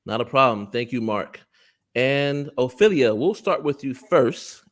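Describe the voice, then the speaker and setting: A man's voice: medium pitch, lively intonation, a little slow. A man with an American accent from New Jersey, hosting a parent panel on a video call, friendly, with frequent laughs and fillers. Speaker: parent relations executive and panel host.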